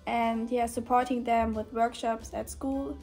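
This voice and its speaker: A young German woman of eighteen, chatting in English with a German accent and leaning on "like" and "yeah". Her voice sits a little high but barely rises or falls, mellow and soft in tone. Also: measured pace, soft background music. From northern Germany. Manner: relaxed, many fillers like "like" and "yeah". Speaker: guest volunteer. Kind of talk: casual chat on camera. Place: indoor room with shelves.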